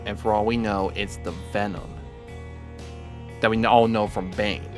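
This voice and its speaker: Male reaction host, slangy and animated, unhurried delivery, exclaims and mutters over prominent music. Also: lowish voice. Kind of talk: casual excitable reaction commentary.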